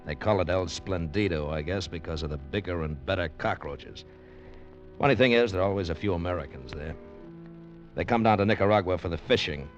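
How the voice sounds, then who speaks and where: An actor playing a weary charter boat captain who narrates with dry, sardonic humor in a 1940s radio drama, on a dull old recording. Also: male voice, low-pitched, fairly quick, soft background music, close to an everyday voice. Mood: wry.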